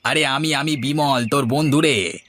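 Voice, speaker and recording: A man's voice: medium pitch, unhurried, a bit bright, a little hiss or hum, close to an everyday voice. A man playing a villager who acts as a persuasive matchmaker for his friend in a cartoon. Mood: hailing. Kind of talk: Bengali moral story cartoon dialogue.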